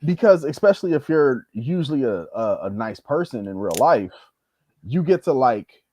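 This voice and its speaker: A man's voice: medium pitch, lively intonation, moderate pace, mellow. A man talking casually and conversationally as a guest on a podcast. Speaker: podcast guest, former wrestler. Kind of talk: casual chat.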